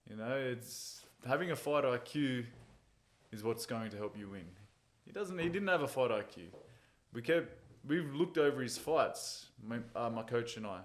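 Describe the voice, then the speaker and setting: Laid-back fighter telling stories. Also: male voice, mid-range voice, animated, moderate pace. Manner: laid-back storytelling, chuckles at his own jokes. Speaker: MMA fighter, interview guest. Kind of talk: casual interview chat.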